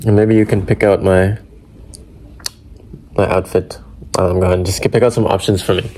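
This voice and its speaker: A man's voice: low voice, flat delivery, moderate pace, a little mellow. A young man chatting casually at home with his partner. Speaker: vlogger's husband. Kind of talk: casual couple chat. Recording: clear background noise in a home recording.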